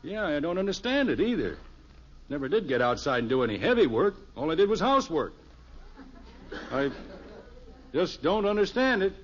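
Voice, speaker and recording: A man's voice: a little high, sing-song, moderate pace, pauses often. An actor playing a hapless, reluctant middle-aged American husband and father in a 1950s radio sitcom, sheepish and hesitant. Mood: weary, puzzled. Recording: dull, hissy vintage radio recording.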